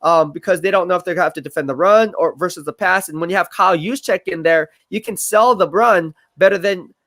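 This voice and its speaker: High-voiced, fast-talking sports stream host. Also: male voice. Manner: energetic, fast-talking, full of fillers and repeats. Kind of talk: casual sports analysis livestream.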